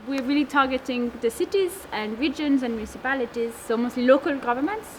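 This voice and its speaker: A woman's voice: high-pitched, a little fast, a little mellow. A woman in her twenties from Lebanon and France, founder of a food waste network, answering interview questions casually with many fillers and restarts. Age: 20s. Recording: noisy background.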